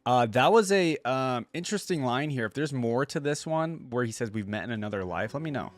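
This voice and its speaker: A man's voice: medium pitch, expressive, brisk, no echo. A man giving casual, slangy reaction commentary on game voice lines. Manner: slangy, says bro and dude. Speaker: YouTube reactor. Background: game voice lines and faint music.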